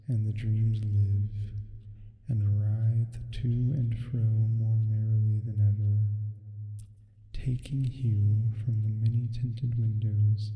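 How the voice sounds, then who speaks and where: A man voicing a calm, sinister sleep paralysis demon, reading gothic horror slowly and solemnly in a soft ASMR murmur with reverb. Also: lowish voice. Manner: slow, deliberate, solemn. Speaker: ASMR storyteller. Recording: echoey long reverb. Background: music.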